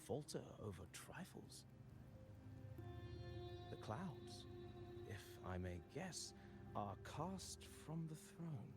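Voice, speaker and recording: An actor playing an eloquent, composed wandering poet in a fantasy game, with ornate, measured, cryptic speech. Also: male voice, fairly high pitch, huge pitch swings, exaggerated voice. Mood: grave, cryptic. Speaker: traveling poet character. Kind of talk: theatrical fantasy game voice acting. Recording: game music behind voice.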